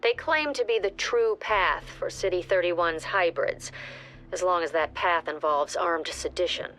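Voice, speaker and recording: An actress playing a mission briefing officer in a game, giving orders in a crisp, clipped tone over soft music. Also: female voice, lowish voice, a little slow. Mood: calm. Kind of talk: game cutscene voice acting.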